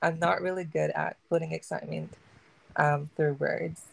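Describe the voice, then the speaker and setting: A woman who works in chat support, speaking softly and politely in a calming customer-service manner as a guest on a video call. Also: low-pitched, moderate pace, creaky. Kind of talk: casual live stream chat.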